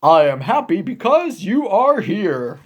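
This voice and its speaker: A woman voicing a big, emotional, needy male elephant from a children's picture book, with dramatic, drawn-out delivery. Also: medium pitch, very animated, slightly rough. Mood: happy.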